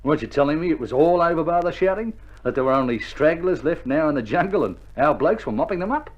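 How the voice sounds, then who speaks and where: An actor playing a sick Australian soldier, an anxious but loyal mate with blunt, colloquial speech, in a dramatised wartime radio play. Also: male voice, on the high side, lively intonation, fast, lo-fi sound. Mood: chiding. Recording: old vinyl radio drama, prominent music behind.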